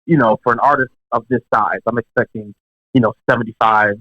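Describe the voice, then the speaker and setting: A man telling a story as a guest in an interview, casual and animated, with many fillers and restarts. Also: medium pitch, brisk, dull audio. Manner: animated, lots of "you know" fillers and restarts. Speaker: guest drummer.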